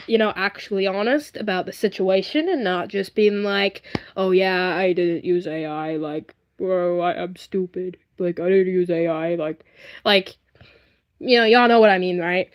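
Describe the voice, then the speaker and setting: A woman's voice: mid-range voice, dull audio. A woman hosting a casual livestream, talking in a rambling, earnest and emphatic way and often repeating herself. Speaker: livestream host.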